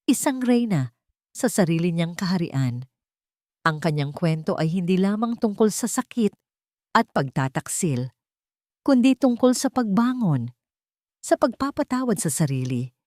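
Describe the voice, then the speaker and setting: Woman storyteller, pretty low voice, earnest n sentimental, really dry close sound. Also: a little mellow, no room sound. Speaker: story narrator. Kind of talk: scripted dramatic storytelling narration.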